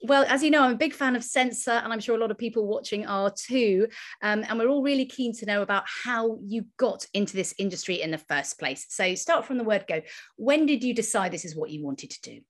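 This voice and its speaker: A woman's voice: a little high, expressive, a little fast. Upbeat woman hosting a masterclass. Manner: friendly, upbeat, scripted introduction. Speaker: film critic, broadcaster and event host. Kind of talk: hosting an online masterclass interview.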